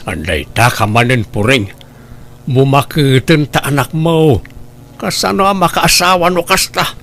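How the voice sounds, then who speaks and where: A man's voice: mid-range voice, animated, unhurried, fairly bright tone. A man acting a patient, conciliatory middle-aged husband and father in an Ilocano comedy drama, speaking with an Ilocano accent in a reasoning, placating way. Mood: exasperated, reasoning. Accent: Ilocano, northern Philippines.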